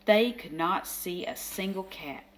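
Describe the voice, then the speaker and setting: A woman reading a children's picture book aloud as storyteller, expressive, with dramatic emphasis. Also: lowish voice, a little slow. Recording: faint background noise.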